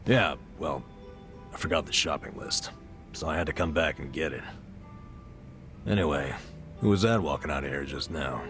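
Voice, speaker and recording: An actor playing a suspicious yet gullible drinking buddy in a video game, talking in a casual, droll way. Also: male voice, extremely low pitch, moderate pace, long pauses, fairly bright tone, faint music behind. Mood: casual, curious. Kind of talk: dramatic game cutscene acting.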